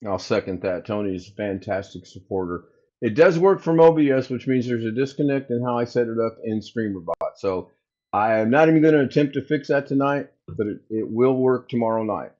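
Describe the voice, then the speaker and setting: A man with a booming voice hosts a relaxed livestream, rambling to his viewers as he works out technical problems on the fly. His voice sits at an ordinary middle pitch, and the sound is a little dull and muffled. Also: brisk. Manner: rambling, thinks aloud while fixing tech. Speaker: livestream host. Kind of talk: casual live chat with viewers.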